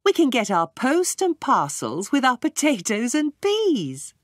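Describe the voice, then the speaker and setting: A woman's voice: sits high, huge pitch swings, unhurried, no room sound, clean studio sound, full character voice. A woman voicing a kindly, fussing village housewife in a children's audiobook. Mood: delighted. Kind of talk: children's audiobook character reading.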